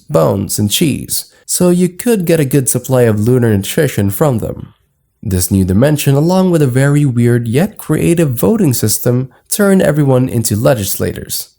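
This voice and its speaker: A man's voice: mid-range voice, vocal fry, mellow. A man reading scripted narration for an explainer video in a formal, documentary-like manner.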